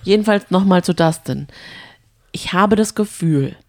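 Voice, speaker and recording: A woman's voice: fairly low pitch, measured pace, darkish tone. A woman co-hosting a reality TV recap show, chatting casually and retelling episodes.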